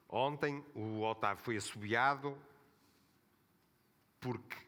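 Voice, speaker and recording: A man's voice: medium pitch, fairly bright tone, long reverb tail. A man with a Porto accent speaking as a panelist at a club event, talking in an emphatic, indignant way. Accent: Porto Portuguese.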